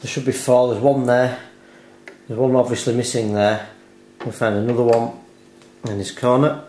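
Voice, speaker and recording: A man's voice: medium pitch, flat delivery, moderate pace, warm tone. A man narrating a hands-on repair tutorial step by step, explaining carefully and without hurry. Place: indoors at a dining table in a home. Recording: roomy echo, background hiss, handling clicks.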